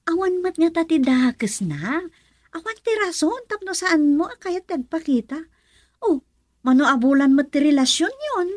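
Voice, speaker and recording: A woman with a Filipino accent acting a teasing, frank and expressive young confidante in a radio drama. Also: very high voice, moderate pace, no echo. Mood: encouraging.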